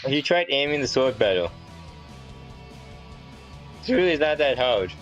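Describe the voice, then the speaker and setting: A man's voice: medium pitch, big pitch swings, measured pace, fairly bright tone, muffled recording. A man chatting casually over a video game, arguing his case point by point. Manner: argumentative, point-by-point reasoning. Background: game music.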